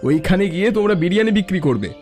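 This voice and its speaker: A man's voice: high-pitched, lively intonation, very fast, a little mellow, soft background music. A man voicing a friendly, confident young visitor from the city in a cartoon. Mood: friendly, generous. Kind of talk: Bengali cartoon voice acting.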